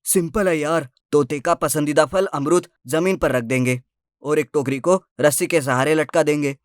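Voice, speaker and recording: A man's voice: fairly high pitch, moderate pace, no echo, natural voice. A sly, scheming cartoon thief, voiced by a man who lays out his plans in a slightly raised voice. The sound is dry and close. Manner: sly and plotting.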